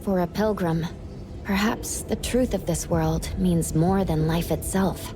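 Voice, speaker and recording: A woman playing a composed, analytical young female investigator in a fantasy video game, speaking in a measured, deliberate way. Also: lowish voice, over music, natural voice. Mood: solemn. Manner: measured, deliberate, reasoning aloud. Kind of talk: scripted anime game voice acting.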